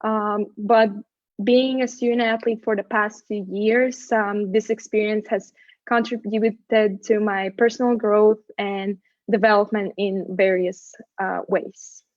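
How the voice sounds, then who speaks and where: A young woman from Latvia, a student athlete whose first language is Latvian, answering interview questions in a measured, slightly rehearsed way with small restarts. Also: medium pitch, little pitch movement, no echo, lo-fi sound. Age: young adult.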